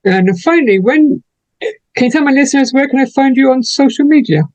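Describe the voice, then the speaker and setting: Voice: high voice, lively intonation, brisk, a little mellow, dry recording. A radio interview host asking plain, formulaic questions.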